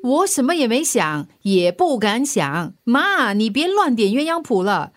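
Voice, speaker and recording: A woman's voice: fairly high pitch, big pitch swings, a little slow, no echo, clean studio sound. An actress playing a proud, feisty young single mother in a radio drama, snappy and protesting, with a Singaporean accent. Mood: exasperated.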